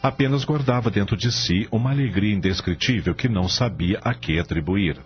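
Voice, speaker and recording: A man narrating a scripted radio drama as an expressive storyteller. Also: fairly low pitch, a little fast. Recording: dull lo-fi audio, faint music.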